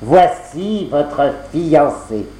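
A man voicing a falsely sweet, scheming stepmother who speaks slowly, in an echoey room. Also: a little high, expressive, dark tone. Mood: fawning. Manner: slow and drawn out.

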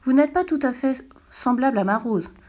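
A woman reading aloud and voicing a gentle, earnest small boy prince who speaks with wonder. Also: sits high, animated, normal speed, pitched above the performer's own voice.

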